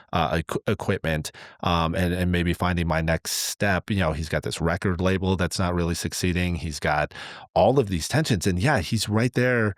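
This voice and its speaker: A man's voice: sits low, dry recording, clean studio sound. A man co-hosting a podcast, telling stories conversationally in an enthusiastic, rambling way, repeating words for emphasis. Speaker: podcast co-host.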